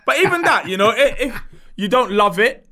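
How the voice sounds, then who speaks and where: A man's voice: very high voice, big pitch swings, moderate pace, pushed and bright. A man hosting a podcast, upbeat and steering a casual chat. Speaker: podcast host.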